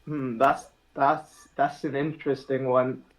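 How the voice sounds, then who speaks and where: A young man from India, a podcast guest, talking in a relaxed, thoughtful way with frequent fillers and restarts. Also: medium pitch, a little slow, poor audio. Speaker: podcast guest, app founder. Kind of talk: casual podcast chat.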